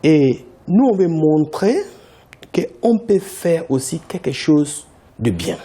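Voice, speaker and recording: Insistent man, lively pitch. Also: slow pace, slightly rough. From Cameroon. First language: English. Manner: insistent, repeats his points. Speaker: company founder, interview guest. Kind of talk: TV interview answers.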